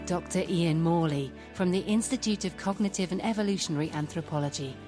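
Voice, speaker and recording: A woman announcer with a low voice reads scripted broadcast credits. Music plays clearly behind her. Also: brisk. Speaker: radio announcer.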